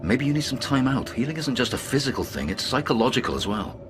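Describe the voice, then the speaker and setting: A man's voice: medium pitch, very animated, quick pace, background noise, close to an everyday voice. A man playing a firm but protective boss in a radio drama, earnest and persuasive. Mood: exasperated, concerned. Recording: music underneath.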